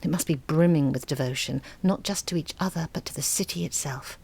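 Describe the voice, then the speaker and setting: A woman playing a thoughtful, candid writer in an audio drama, speaking in an intimate, reflective and expressive monologue. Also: deep voice, brisk, vocal fry, slight background noise. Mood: storytelling.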